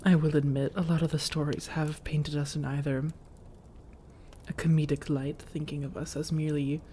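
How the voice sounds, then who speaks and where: An elegant vampire woman, acted by a woman, speaks in a mellow, soft, breathy voice that often sinks to a whisper. Her phrasing is measured, formal and coaxing, in the manner of an ASMR roleplay. A faint noisy ambience sits behind her. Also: sits low, level tone, close to an everyday voice. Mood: indignant, composed. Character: elegant, persuasive, long-lived female vampire seeking a roommate.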